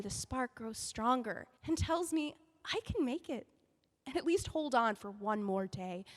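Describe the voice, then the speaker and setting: An actress playing a young intern editor who pleads earnestly and emotionally, rushing between thoughts. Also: female voice, on the high side, in a large hall, natural voice. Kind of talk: audio drama acting.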